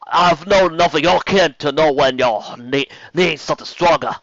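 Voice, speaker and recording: A man voicing a gruff female bartender mare with a put-on Cockney accent, clipped words slurred together. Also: on the high side, moderate pace, a bit bright, no echo, dull audio. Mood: brusque.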